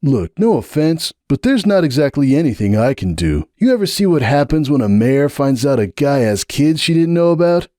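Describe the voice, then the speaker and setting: A man's voice: medium pitch, sing-song, moderate pace, few pauses, dry recording, clean studio sound, voice pushed up, natural voice. A man voicing a brash, defensive young stallion who talks in a sarcastic, defiant way in a dramatic audiobook reading. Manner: defiant, sarcastic, rising to shouts.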